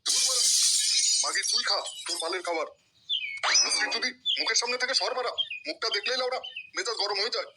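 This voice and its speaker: Blustering cartoon husband, male actor, rapid scornful delivery, very noisy track with birdsong. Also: high-pitched, animated. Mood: irritated. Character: grumpy, foul-mouthed middle-aged cartoon husband and father. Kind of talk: adult comedy cartoon dialogue.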